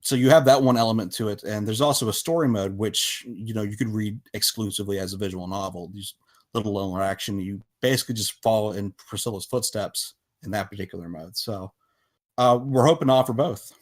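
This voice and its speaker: A man with a fairly low voice talks casually as an interview guest. His phrasing is halting and hesitant, in the relaxed register of a podcast conversation about his own work. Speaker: podcast guest, visual novel developer.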